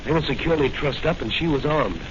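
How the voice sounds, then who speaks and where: Man acting a brisk high-pitched radio serial hero. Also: lightly acted. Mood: confident. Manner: brisk, commanding, melodramatic. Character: confident heroic masked crime fighter, a young policeman. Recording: noisy, muffled old radio serial recording.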